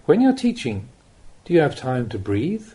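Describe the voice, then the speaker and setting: Measured man reading teachings, lively intonation. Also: medium pitch, breathy edge. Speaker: Buddhist monk reading recorded teachings. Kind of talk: audiobook reading of a Dhamma talk.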